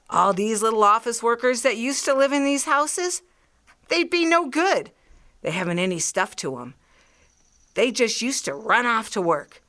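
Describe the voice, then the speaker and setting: Woman acting a fanatical, bright-voiced soldier woman. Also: fairly high pitch. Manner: folksy colloquial, cocky, ranting. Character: fanatical, scheming artillery woman surviving a Martian invasion. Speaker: acting class student. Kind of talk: audio drama acting.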